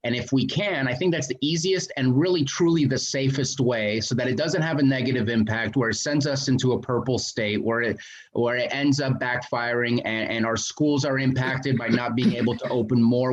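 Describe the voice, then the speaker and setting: A man speaking at a city committee meeting, talkative, with a voice he calls very loud. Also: mid-range voice, fairly even intonation, moderate pace, lo-fi sound. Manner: talkative, self-described loud voice. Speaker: committee member. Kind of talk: meeting discussion.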